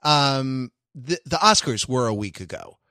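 A man's voice: medium pitch, expressive, unhurried, pushed and bright, dry recording, clean studio sound. A man from Los Angeles co-hosting a podcast, talking in a rambling, digressive way and correcting himself as he goes. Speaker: podcast co-host. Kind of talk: casual chat.

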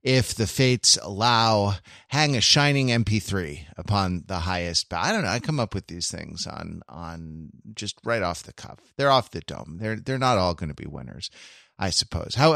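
A man's voice: lowish voice, sing-song, moderate pace, gravelly, fairly bright tone, no echo, studio quality. A man hosting a podcast in casual, comedic chat, talking in a rambling, digressive and wry way. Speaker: podcast host.

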